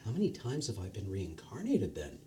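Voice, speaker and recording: A man's voice: fairly low pitch, wildly swooping pitch, fairly quick, warm tone, a little hiss or hum, voice pushed down. A man reading the lines of a confused, newly dead man in his late forties, asking hesitant questions. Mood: bewildered.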